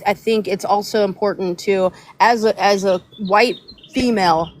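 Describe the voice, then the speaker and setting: A woman's voice: mid-range voice, slight background noise. A woman on an online panel, speaking in a hesitant, conciliatory way with many fillers. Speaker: panel guest.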